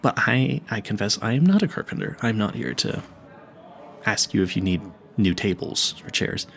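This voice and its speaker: A man's voice: lowish voice, very animated, moderate pace, creaky, fairly bright tone, exaggerated voice. A man playing a nervous, clueless young vampire hunter in an audio roleplay, stammering and easily sidetracked as he earnestly chats to the listener. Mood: confiding, sheepish.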